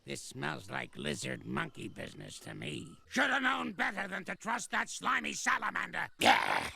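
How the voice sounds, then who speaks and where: An actor voicing a grumpy old male ape in a cartoon, with a creaky, gravelly old voice and a scolding, sarcastic way of talking. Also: high voice, sing-song, moderate pace, bright, forceful tone, full character voice. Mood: grouchy. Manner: scolding, sarcastic, barks insults. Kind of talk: cartoon voice acting. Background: music.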